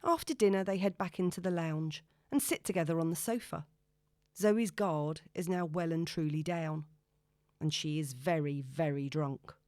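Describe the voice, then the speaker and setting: A woman's voice: sits low, normal speed, a little mellow, no room sound, studio quality. A woman reading scripted novel narration as an audiobook narrator.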